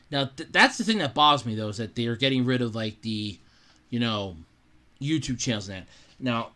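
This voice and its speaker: A male podcast host with a bright, hard-edged voice at an average pitch. He comments on news casually and bluntly, talking as if to a listener and voicing imagined exchanges as he goes.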